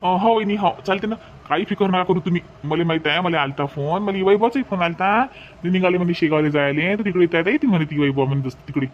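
A man plays a hospitable but firm village uncle in a cartoon comedy. He speaks rapidly and matter-of-factly with a Varhadi Marathi accent. The recording is dull and lo-fi, with faint noise. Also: sits high, natural voice. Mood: reassuring, practical. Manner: insistent, matter-of-fact.

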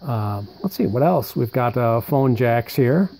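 A man with a soft-edged, mellow voice presents in an easy show-and-tell style. He talks conversationally and thinks aloud as he goes, at a medium pitch. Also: lively intonation, unhurried, vocal fry. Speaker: presenter showing vintage military radio equipment. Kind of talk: casual unscripted show-and-tell narration.

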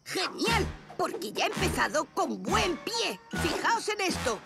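A woman's voice: very high voice, sing-song, unhurried, creaky, full character voice. A woman with a Castilian Spanish accent voicing a big, boastful, clumsy, hot-tempered boy superhero in a cartoon dub, showy and exclamatory. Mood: eager, cocky. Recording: loud cartoon music underneath.

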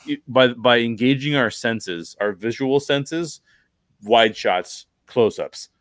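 A man's voice: medium pitch, slow pace, fairly bright tone, dry recording. A middle-aged man hosting a conversational podcast, explaining film craft in an emphatic way, close on a microphone. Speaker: podcast host. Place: bedroom, video call.

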